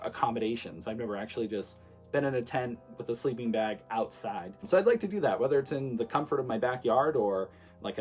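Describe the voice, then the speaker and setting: A man in his late twenties, a streamer, talking casually to his viewers in a relaxed, rambling way with many fillers. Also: mid-range voice. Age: 20s. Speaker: streamer and vlogger. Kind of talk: casual talk to camera.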